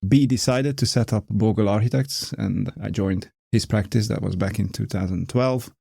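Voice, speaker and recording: A man in his forties from Hungary with a Hungarian accent, an architect talking through his work as a podcast guest in a measured, explanatory way. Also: lowish voice, vocal fry, mellow, husky, no room sound, studio quality. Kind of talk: casual conversation.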